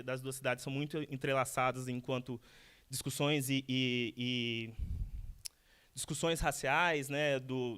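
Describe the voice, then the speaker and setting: A man's voice: medium pitch, fairly bright tone, clean tone. Hesitant Brazilian man giving a talk. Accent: Brazilian Portuguese. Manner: hesitant, many restarts and repeated words. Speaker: guest speaker, architect. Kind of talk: lecture told as casual conversation.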